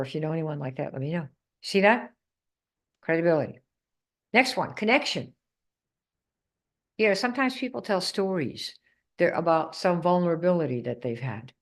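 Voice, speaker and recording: Emphatic woman sales coach. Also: low voice. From Netherlands. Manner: emphatic, asks the audience questions. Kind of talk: live coaching session.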